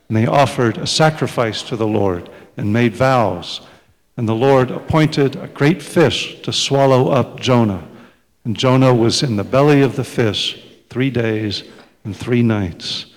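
Man reading scripture, steady and formal, mid pitch, big reverberant sanctuary. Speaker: church scripture reader. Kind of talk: reading scripture aloud, then welcoming the guest. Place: church sanctuary. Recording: long reverb in a large hall.

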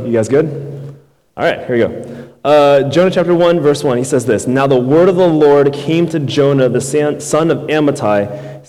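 Thirties man, guest youth pastor, easygoing talk full of "uh"s, mid pitch, echoing sanctuary. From Houston, Texas. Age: 30s. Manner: relaxed, many fillers, asks the crowd questions. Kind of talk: casual conversational sermon. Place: church sanctuary. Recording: long reverb in a large hall.

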